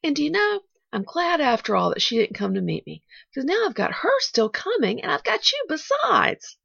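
A woman plays an eager little orphan girl from a storybook, high-pitched and very animated. She rambles breathlessly, trips over her own words and keeps bravely glad. The recording is dull and lo-fi. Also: brisk, creaky, breathy edge, no echo, exaggerated voice. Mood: bright, eager. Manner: breathless, rambling, self-correcting. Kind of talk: audiobook character voice.

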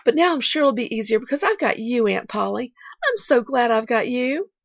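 Woman voicing a high, chattering, eager little orphan girl. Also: lively intonation, fairly quick, vocal fry, no echo, highs cut off. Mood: tearful, brave. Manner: breathless, rambling, self-correcting. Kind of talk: audiobook character voice.

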